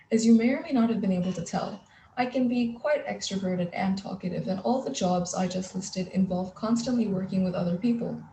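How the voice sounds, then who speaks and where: A woman's voice: medium pitch, warm tone, slight background noise. A teenage girl from Kuwait whose first language is Gujarati, giving a polished, articulate prepared speech. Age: teens. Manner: articulate, poised, polished phrasing. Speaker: youth speaker. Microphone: video call. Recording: echoey room over video call.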